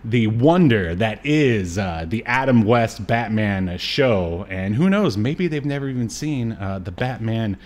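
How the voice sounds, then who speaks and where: A man's voice: on the low side, animated, a little slow, hardly any pauses. A middle-aged American man chatting casually as a pop-culture podcast host, rambling with wry asides. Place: small room at home, on a video call.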